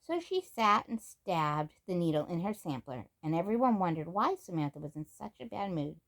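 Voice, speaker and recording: A woman reading a children's storybook aloud as an expressive narrator. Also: fairly low pitch, moderate pace. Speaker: storybook reader.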